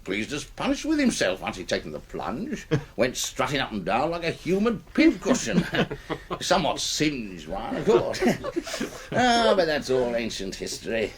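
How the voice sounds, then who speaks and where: An actor playing a jovial, eccentric upper-class British host and adventurer in a radio drama, with a blustery, old-fashioned manner. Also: male voice, mid-range voice, wildly swooping pitch, a little slow. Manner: blustery, chuckling, old-fashioned turns of phrase.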